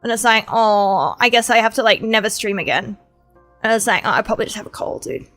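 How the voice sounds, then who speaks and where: A woman's voice: medium pitch, animated, a little fast, a bit bright, faint music behind. A woman streamer with a sore throat, chatting casually in a rambling way, swearing and laughing.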